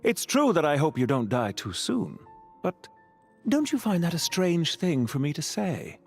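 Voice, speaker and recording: Suave, animated banker villain Pantalone. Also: male voice, fairly high pitch, gravelly, soft background music, heavily acted. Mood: wry, teasing. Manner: suave, sardonic, measured politeness. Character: urbane scheming banker villain, sardonic, politely calculating. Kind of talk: video game character acting.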